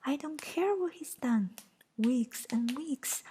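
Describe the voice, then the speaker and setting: A woman voicing a furious, shrill young witch, whispering her lines in a higher pitch. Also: animated, slow, mellow, breathy edge, heavily acted.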